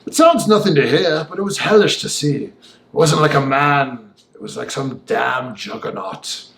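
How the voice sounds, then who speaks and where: A man reading as a gentleman who recounts a disturbing tale in vivid, old-fashioned, emphatic speech. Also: medium pitch, moderate pace, gravelly, echoey room. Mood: indignant. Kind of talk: audiobook dialogue monologue.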